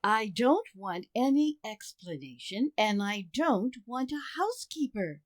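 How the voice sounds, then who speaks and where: A woman playing a firm, exasperated suburban wife in a radio sitcom, speaking in a measured, pointed, dryly sarcastic way. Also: on the high side, sing-song, dry recording, clean studio sound. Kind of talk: old-time radio sitcom acting.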